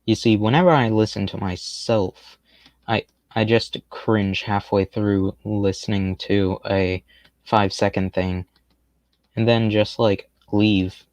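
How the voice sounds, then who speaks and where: A man with a lowish voice drifts through relaxed gameplay commentary in a fairly monotone, rambling way, gently poking fun at himself. The sound is close and dry, with no room around it. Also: slow pace, no room sound. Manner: rambling, laid-back, self-deprecating. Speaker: game streamer.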